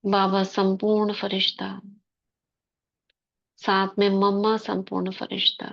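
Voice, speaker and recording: A woman leads a meditation in a soft, unhurried voice, keeping her pitch almost perfectly level from phrase to phrase. She speaks in short phrases broken by long pauses. The recording sounds a little dull and lo-fi. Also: monotone, gravelly. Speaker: meditation guide. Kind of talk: guided meditation commentary.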